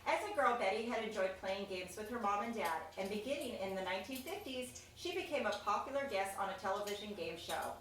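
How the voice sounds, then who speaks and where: A woman reading a children's picture book aloud, expressive and chatty, with casual asides about the pictures. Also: mid-range voice, fairly quick, hardly any pauses. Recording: echoey room, distant mic, faint noise.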